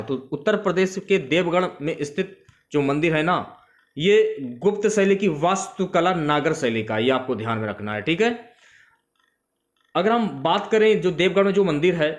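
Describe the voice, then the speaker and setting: Higher-pitched male exam coach. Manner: repeats key facts for emphasis, addresses students directly. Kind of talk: instructional exam question review.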